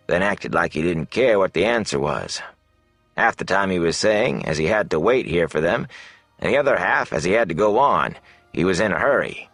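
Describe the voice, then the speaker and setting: A man voicing a chatty, excitable middle-aged innkeeper with folksy, gossipy talk in a fantasy audiobook. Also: deep voice, no room sound, full character voice. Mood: uneasy, confiding. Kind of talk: fantasy audiobook character dialogue.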